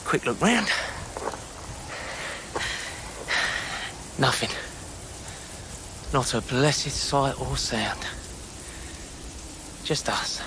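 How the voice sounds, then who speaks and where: An actor in a radio drama playing a sly scavenger, falsely friendly and menacing, talking in clipped sardonic phrases. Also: male voice, medium pitch, sing-song, very slow, background noise. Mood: quiet menace.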